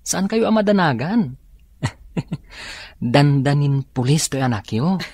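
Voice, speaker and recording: A man's voice: fairly high pitch, expressive, slow, a little mellow, slightly rough, no echo, heavily acted. An actor playing an eager, reassuring young male student in a radio drama.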